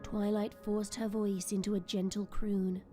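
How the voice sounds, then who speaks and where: Female narrator, dark warm tone, medium pitch, dramatic scripted storytelling, faint music underneath. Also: level tone, unhurried. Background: soft music.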